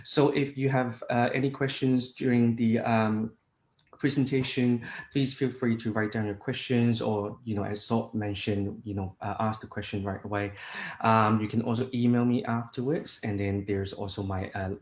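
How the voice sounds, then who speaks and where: A man's voice: lowish voice, level tone, moderate pace, a little mellow, echoey room. A man from Hong Kong who works as an international technical advisor, giving a conference presentation with many fillers and restarts. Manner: hesitant, frequent fillers and restarts.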